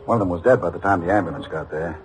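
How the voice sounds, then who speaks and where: A man's voice: low-pitched, very fast, a little hiss or hum, close to an everyday voice, low-quality recording. A man playing a seasoned, unassuming American police detective in an old radio drama, speaking in casual, colloquial phrases. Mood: casual storytelling. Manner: colloquial, casual anecdote telling. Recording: vintage radio drama recording.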